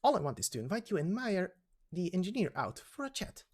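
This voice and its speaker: A mysterious, composed informant from a game story, acted out by a man, pitched high with swooping intonation. The manner is confident and coaxing. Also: big pitch swings, a little fast, breathy edge, exaggerated voice.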